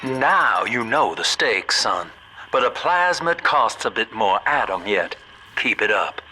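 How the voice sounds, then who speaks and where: A man's voice: lowish voice, big pitch swings, slow, sharp tone, soft background music, full character voice, rough recording. A man playing a folksy, scheming businessman with an American Southern accent, guiding the player in a video game with avuncular salesman patter. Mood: persuasive, calculating. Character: folksy, scheming male businessman, smooth opportunist guide. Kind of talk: video game voice acting, radio guidance.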